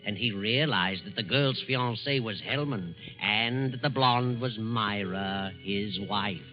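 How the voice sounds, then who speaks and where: An American man narrating in a measured, ominous, dramatic 1940s radio style with weighty pauses. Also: on the low side. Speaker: narrator and announcer. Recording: dull 1940s radio broadcast with music. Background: dramatic music.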